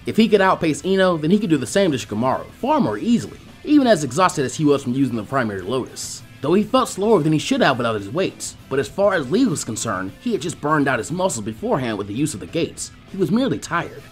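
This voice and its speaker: A man reading fan fiction aloud as an expressive scripted narration. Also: on the high side, quick pace, few pauses, faint music behind. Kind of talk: expressive scripted story narration with character voices.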